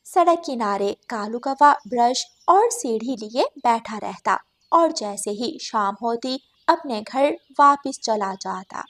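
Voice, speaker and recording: High-pitched woman narrating children's stories. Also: animated, takes it slowly, a bit bright. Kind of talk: children's scripted story narration.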